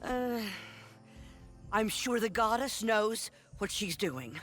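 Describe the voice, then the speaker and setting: Plucky, high-voiced old great-grandmother. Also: very animated, takes it slowly, fairly bright tone, soft background music, full character voice. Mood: wryly eager. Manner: spirited, folksy, wry. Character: plucky, adventurous great-grandmother, elderly and sharp-witted.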